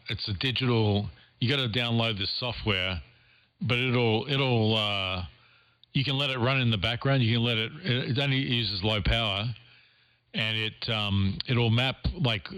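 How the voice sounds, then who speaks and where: A man's voice: fairly low pitch, a little slow, fairly bright tone, no room sound. A man talking casually as an amateur radio operator, thinking aloud and repeating phrases as he goes. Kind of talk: casual ham radio contact.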